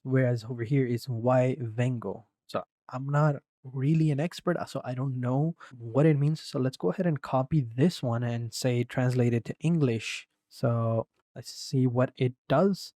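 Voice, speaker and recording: Mellow-voiced man, relaxed tutorial talk. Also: mid-range voice, measured pace, few pauses, no room sound, studio quality. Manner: relaxed, rambling, repeats "go ahead". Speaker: tutorial presenter. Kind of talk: casual screen-recording walkthrough.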